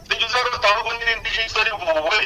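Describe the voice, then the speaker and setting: A man playing an excitable village father in a radio drama, animated and exclamatory. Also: high-pitched, very fast, poor audio. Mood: eager, resolute. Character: excitable village father vowing to follow doctor's orders.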